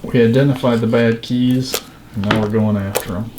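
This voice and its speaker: A man's voice: medium pitch, steady tone, moderate pace, a little mellow. Casual man, noisy room. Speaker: repairer demonstrating a fix. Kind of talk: casual hands-on repair chat. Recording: noisy room with background sounds.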